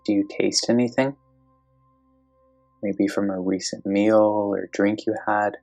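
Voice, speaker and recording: A meditation guide speaking slowly and gently, giving calm step-by-step instructions in a guided meditation. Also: deep voice, soft background music.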